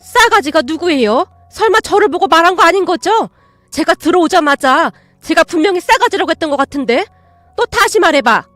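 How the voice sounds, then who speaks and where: A woman voicing a rude, whiny aunt by marriage who talks in a shrill, indignant tone. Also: sing-song, a little fast, bright, forceful tone, no room sound, soft background music, heavily acted.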